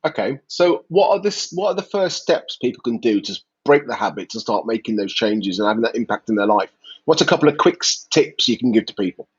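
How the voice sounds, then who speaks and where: A man's voice: medium pitch, animated, a little fast, husky, no echo. A man hosting a casual live-stream interview, upbeat and chatty, encouraging his guest. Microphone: video call. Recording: dry, dull video-call audio.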